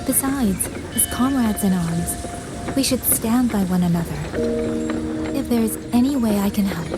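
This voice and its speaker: A woman voicing an earnest, courteous young ranger in a video game, speaking in measured, formal phrases. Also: mid-range voice, expressive, natural voice. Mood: earnest, resolute. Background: prominent game music.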